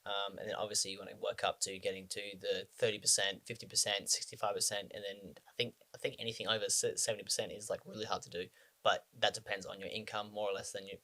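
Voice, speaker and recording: A man's voice: lowish voice, fairly even intonation, fast, pushed and bright. Rambling young man with frequent ums. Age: 20s. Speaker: personal finance vlogger. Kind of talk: casual talk to camera.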